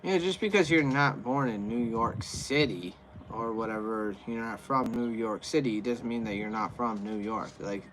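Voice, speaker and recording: A man's voice: lowish voice, moderate pace, fairly bright tone, a little hiss or hum, rough recording. An American man hosting a livestream, answering viewer comments in a rambling, casual way full of fillers and restarts. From USA. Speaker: livestream host.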